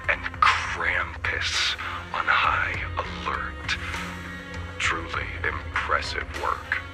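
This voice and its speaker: An actor playing a condescending villainous handler in a video game, speaking in a measured, sardonic, formal way, often breathy. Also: male voice, very deep voice. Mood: cold, sardonic. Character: coldly polite, condescending male villainous handler. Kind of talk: dramatic video game voice acting. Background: music.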